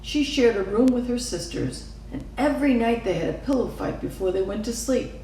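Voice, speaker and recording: Woman, children's story narration, animated intonation, distant mic, reverberant room, faint noise. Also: a little high, normal speed. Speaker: storybook reader.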